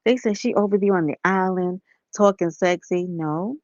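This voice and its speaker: A woman, slightly hoarse, talking softly and casually to viewers on a live stream from bed. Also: fairly low pitch, normal speed, no room sound, lo-fi sound. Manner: soft-spoken, talks straight to viewers as y'all and honey.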